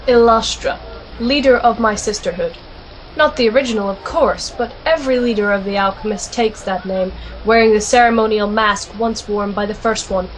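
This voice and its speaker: A woman's voice: medium pitch, moderate pace, a little hiss or hum, natural voice, poor audio. A woman playing a defiant, sardonic alchemist, wry and assertive, in an audio drama with narration. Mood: wry, resolute. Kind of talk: first-person narration and audio drama acting.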